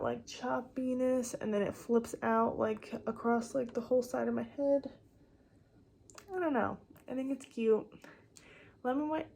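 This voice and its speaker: A young woman with a slightly raspy voice chatting casually and off the cuff to a phone camera, rambling with lots of filler words. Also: fairly high pitch, warm tone. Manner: rambling, filler-heavy with frequent "like". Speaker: vlogger. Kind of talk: casual impromptu chat to camera.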